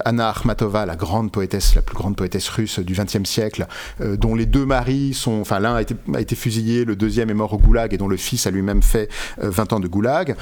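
A man's voice: on the low side, darkish tone. A man speaking as an interviewed author, giving erudite, unscripted explanations with frequent hesitations and self-corrections. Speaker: interviewed author and literary expert.

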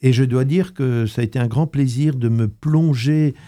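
A man's voice: mid-range voice, moderate pace, warm tone. A young man from Alsace, a history student, answering interview questions on the radio in a hesitant way, full of fillers and restarts. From Alsace, France. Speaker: guest, history master's student. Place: radio studio.

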